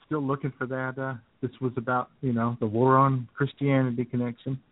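A man's voice: mid-range voice, flat delivery, a little fast, dry recording. A man co-hosting an internet radio show, bantering casually and sarcastically about the news over a thin, phone-like sound. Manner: sarcastic, joking.

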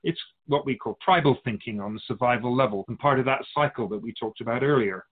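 An actor playing an otherworldly keeper of souls in a radio drama, patiently teaching humans in a measured, all-knowing way. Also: male voice, medium pitch, natural voice. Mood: patient, instructive. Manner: didactic, measured explaining, speaks of humans as outsider. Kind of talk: scripted radio drama dialogue. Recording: dull phone-like narrow sound.

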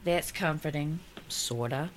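A woman's voice: sits low, takes it slowly. A woman playing a nervous young woman facing an interview in an audio drama. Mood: anxious. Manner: anxious, animated.

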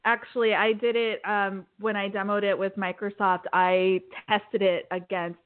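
A woman's voice: mid-range voice, normal speed, smooth. A woman from Kansas, a tech recruiter, talking casually and animatedly as a guest on a podcast. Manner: animated, chatty, laughs easily. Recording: tinny phone-like line.

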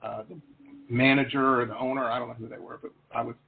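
Man, middle-aged, host, husky voice, rambling animated talk, tinny phone-like sound. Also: mid-range voice, fast. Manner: rambling, animated storyteller with many fillers. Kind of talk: casual interview chat. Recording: phone-like lo-fi line.

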